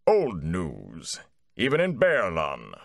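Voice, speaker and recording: A man's voice: wildly swooping pitch, a little slow, a bit bright, no room sound, exaggerated voice. A man voicing a theatrical older male gleeman who speaks grandly and with scorn. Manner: booming, grandiose, then dry and scornful.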